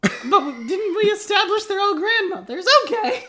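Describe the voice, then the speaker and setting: A man's voice: very high voice, sing-song, normal speed, sharp tone, pitched above the performer's own voice, heavily acted. A man voicing a genteel, fussy old grandmother detective, fluttery and easily flustered, in an improvised comic radio play. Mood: fussy, puzzled.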